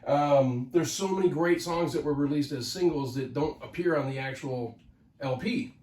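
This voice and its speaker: A man's voice: a little high, moderate pace, darkish tone. Guy with a head cold, kinda nasal, rambles w lots of ums, sounds a bit far from the mic in an echoey room. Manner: rambling, many fillers and restarts. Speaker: host of a record collecting channel. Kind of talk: casual solo talk to camera.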